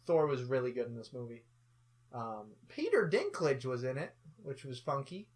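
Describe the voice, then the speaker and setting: A man co-hosting a relaxed movie review, talking in an everyday mid-pitched voice. His tone stays jokey and loose. Now and then he drops into exaggerated impressions to poke fun at the characters. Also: normal speed. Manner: jokey, slips into mocking silly voices for characters. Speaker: co-host of a movie review show. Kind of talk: casual film review chat.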